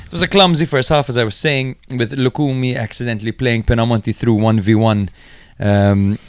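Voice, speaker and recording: A man's voice: mid-range voice, steady tone, a little fast, clean tone, no echo, clean studio sound. A man co-hosting a podcast, recapping and analysing football matches in an informal, chatty way. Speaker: podcast host.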